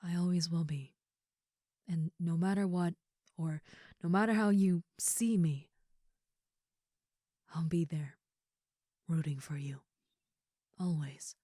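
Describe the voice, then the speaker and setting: A woman acting as a young female ogre roommate, with a slightly low voice recorded close and dry. She is shy and caring, speaking softly and casually with hesitant reassurance, as in an intimate audio roleplay. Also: takes it slowly, pauses often, no room sound. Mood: tender, soothing. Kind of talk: intimate comfort audio roleplay, addressed to the listener.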